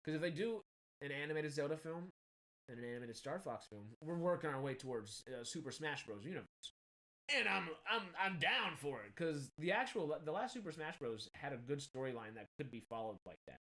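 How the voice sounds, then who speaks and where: A man in his thirties with a slight Texan accent, hosting casual film news commentary in an animated, rambling, emphatic way. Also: a little high, a little fast. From Texas. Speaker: film news show host.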